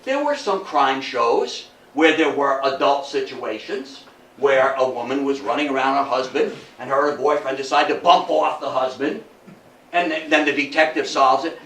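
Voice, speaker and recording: A man's voice: medium pitch, normal speed, a bit bright. Older man lecturing in a roomy space. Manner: folksy, chatty, rhetorical questions to listeners. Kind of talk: informal history talk to an audience. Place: indoor room before an audience. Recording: roomy, distant mic, faint noise.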